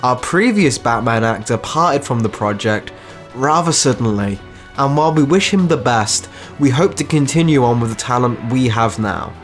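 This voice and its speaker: A man with an average-pitched voice narrates from a script as a storyteller and channel creator. Faint music runs behind him. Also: lively intonation, moderate pace.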